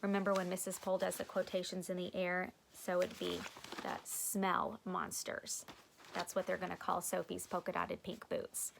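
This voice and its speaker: A woman's voice: lowish voice, monotone, normal speed, creaky, slight background noise. A woman teacher reading a children's storybook aloud, expressively, with explanatory asides for young listeners.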